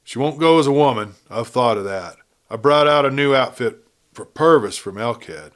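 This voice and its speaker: A man's voice: medium pitch, animated, moderate pace, close to an everyday voice. A man voicing a ruthless, blunt outlaw gang leader with a folksy cowboy drawl in a Western story. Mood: scheming, insistent.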